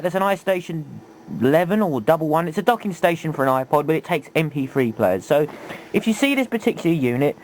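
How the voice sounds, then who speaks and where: A man's voice: on the high side, low-quality recording. A man giving an informal, chatty hands-on tutorial, often asking rhetorical questions. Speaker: video presenter, hobbyist demonstrator. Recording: homemade video with faint background noise.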